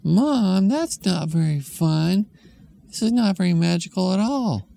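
Guy doing a high whiny little girl, grossed out and bored, voice jumps all over. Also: lively intonation, a little slow, creaky, dark tone, slight background noise, heavily acted. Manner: whiny, complaining, high-pitched.

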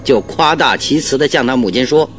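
A man playing a confident, analytical private detective in a Mandarin radio drama, reasoning in measured, questioning phrases. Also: medium pitch, animated, a bit bright, soft background music, natural voice.

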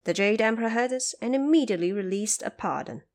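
A woman's voice: a little high, lively intonation, fast talker, darkish tone. A woman reading scripted narration of a classic fantasy tale for an audio drama. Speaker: storyteller.

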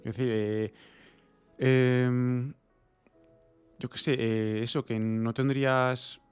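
Man from Spain explaining haltingly. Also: medium pitch, level tone, smooth, no room sound, faint music behind. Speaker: podcast co-host. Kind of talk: casual conversational discussion.

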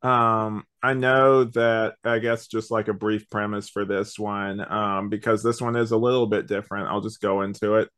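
Smooth-voiced man chatting on a podcast. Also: fairly low pitch, little pitch movement, normal speed, few pauses, a bit bright. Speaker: podcast host. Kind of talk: casual review chat. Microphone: online video call recording.

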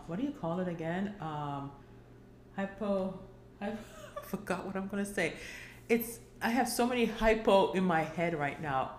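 A woman's voice: deep voice, echoey room, slight background noise. A woman in her forties hosting a casual solo podcast, chatty and rambling, with many fillers and restarts. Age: 40s. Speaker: podcast host.

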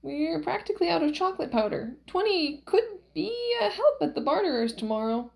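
Woman acting a pushy, high-pitched old wife. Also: very animated, a little fast, creaky, darkish tone, full character voice. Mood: coaxing. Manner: urging, nagging. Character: pushy, shrewd old wife, slightly hard of hearing.

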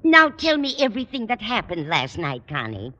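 A woman's voice: medium pitch, huge pitch swings, moderate pace, pushed and bright. An older woman playing a curious, doting landlady in a 1950s radio sitcom, asking questions in a prying, eager way. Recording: dull old radio broadcast recording.

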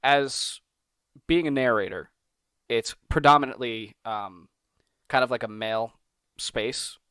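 A man's voice: mid-range voice, measured pace, bright, forceful tone, clear, resonant tone, no room sound, studio quality. A man hosting a podcast interview, talking in long, rambling, enthusiastic stretches. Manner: rambling, enthusiastic, repeats himself. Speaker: podcast host.

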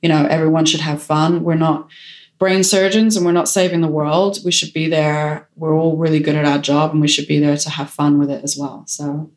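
Low-voiced woman, decisive and frank. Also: little pitch movement, normal speed, darkish tone. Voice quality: strong voice. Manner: decisive, frank, self-assured. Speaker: director and photographer. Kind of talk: interview answers.

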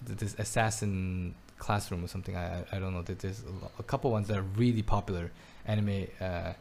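A man's voice: sits low, fairly even intonation, brisk, gravelly, a little mellow, slight background noise. A man raised in Belgium, chatting casually and often restarting his words. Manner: hesitant, frequent restarts and repeated words. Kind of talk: casual chat. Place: his home, lives in Taiwan.

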